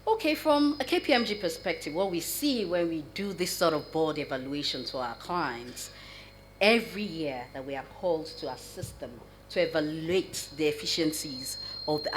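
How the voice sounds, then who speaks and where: A woman who has a cough and sounds croaky, speaking as a panelist in a measured, explanatory way over a video call. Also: low voice, slight background noise, low-quality recording. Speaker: corporate board evaluation advisor and panelist. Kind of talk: panel discussion answer.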